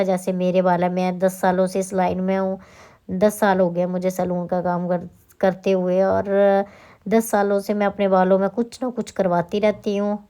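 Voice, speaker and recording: Chatty woman vlogger, mellow voice. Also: medium pitch. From Himachal Pradesh, India. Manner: chatty, rambling, self-correcting. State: sore throat with coughing. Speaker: salon owner and vlogger. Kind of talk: casual vlog narration.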